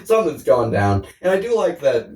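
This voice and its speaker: A man's voice: mid-range voice, sing-song, fairly quick, darkish tone, a little hiss or hum. Echoey, distant man chatting casually. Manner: jokey, laughs often, rambling, acts out quotes. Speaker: podcast co-host. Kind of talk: casual chat about horror films. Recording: roomy echo, distant from mic.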